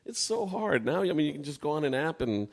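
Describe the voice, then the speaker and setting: A man's voice: on the high side, big pitch swings, fast, gravelly. A man in his forties chatting casually as a talk show co-host. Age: 40s. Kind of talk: casual chat. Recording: long hall-like reverb.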